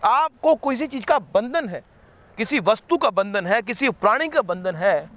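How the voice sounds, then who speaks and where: A man's voice: sits high, expressive, normal speed, fairly bright tone. A man giving an unscripted spiritual talk, rambling and repeating himself, slipping English words into his sentences. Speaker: spiritual speaker.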